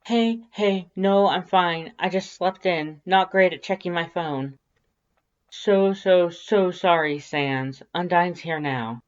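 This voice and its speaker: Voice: medium pitch, slow pace, muffled recording, voice pushed up, lightly acted. A narrator voicing an apologetic young woman with a casual, hesitant, awkward manner. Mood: apologetic, flustered.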